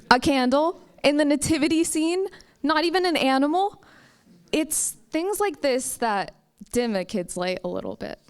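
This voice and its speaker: A young woman from the United States telling a personal story at a live campus story slam, with slow, emphatic phrasing. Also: high-pitched. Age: young adult. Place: stage at a campus story event.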